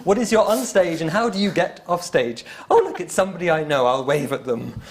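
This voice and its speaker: Man poet, slightly higher voice, playful reading with repeated lines. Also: a little fast. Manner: playful, lively reading with laughs. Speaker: event poet.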